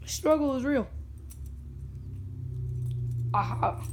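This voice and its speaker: Hoarse young unboxing vlogger, high voice, casual and jokey, on a camera mic. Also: very slow, a little hiss or hum. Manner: chatty, jokey, self-talking. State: hoarse, lost voice.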